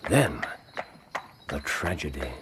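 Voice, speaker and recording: A man's voice: a bass voice, very animated, takes it slowly, very noisy, exaggerated voice. An English man acting in a radio drama as a brilliant, self-assured Victorian detective, dryly teasing and precise as he explains. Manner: precise, fluent deductive explaining.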